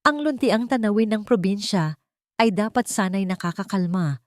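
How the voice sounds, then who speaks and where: A woman's voice: mid-range voice, expressive, normal speed, dry recording. A woman narrating a scripted romantic drama as a storyteller.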